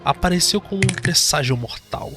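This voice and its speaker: A man's voice: high voice, lively intonation, normal speed. A man with a Brazilian accent giving dramatic scripted narration for a horror fantasy audio drama. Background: music.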